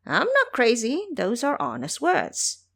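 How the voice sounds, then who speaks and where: Cocky, teasing male monkey monk played by a woman, storybook adventure, lifted pitch, lively swoops. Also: unhurried, vocal fry, heavily acted. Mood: boastful. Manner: boastful, teasing. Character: brash, cocky male monkey-monk trickster, fearless and playful. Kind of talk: audio drama storytelling.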